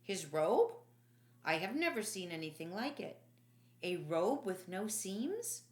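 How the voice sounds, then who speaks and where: A woman's voice: medium pitch, big pitch swings, takes it slowly, reverberant. A woman playing a stern, commanding male captain of the Roman guard in an audiobook. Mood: startled.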